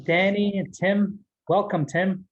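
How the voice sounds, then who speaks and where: Man, guest speaker, casual motivational talk, jokes and laughs a lot, slightly high voice, muffled lo-fi call audio. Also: flat delivery, takes it slowly. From New Mexico, Navajo Nation. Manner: joking, laughs often.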